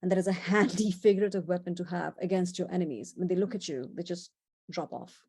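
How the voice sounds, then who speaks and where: A woman's voice: fairly low pitch, fast talker, darkish tone, husky. A middle-aged woman from India with an Indian accent, giving a chatty illustrated talk with asides and small laughs. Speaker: presenter. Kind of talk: illustrated talk on horses in history and culture.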